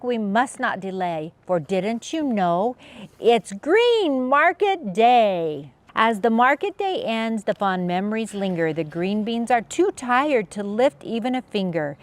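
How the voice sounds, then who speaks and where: Female children's read-aloud host: unhurried, lively pitch swings, sing-song and playful. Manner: expressive sing-song rhyming delivery with playful sound effects. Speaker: children's story reader, channel host.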